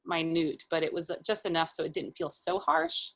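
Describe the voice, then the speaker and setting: A woman with a slightly low-set voice talks casually as a guest illustrator. She explains how she works in informal, step-by-step fashion, as in a relaxed interview conversation. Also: normal speed, gravelly.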